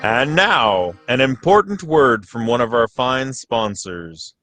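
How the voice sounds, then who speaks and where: A man's voice: medium pitch, animated, slow, sharp tone, faint music behind. A man playing a polished radio announcer who introduces sponsors. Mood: formal.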